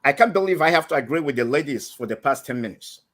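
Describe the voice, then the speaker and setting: A man's voice: fairly high pitch, lively intonation, quick pace, fairly bright tone. A man from Nigeria with a Nigerian accent, calling in to a live stream show and talking hesitantly, with many fillers and restarts. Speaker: caller.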